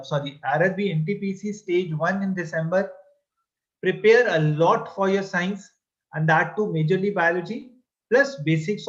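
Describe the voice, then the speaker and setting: A man's voice: high-pitched, lo-fi sound. A male exam-coaching teacher lecturing a class, mixing Hindi and English and fondly calling his students "beta". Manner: checks in with the class often.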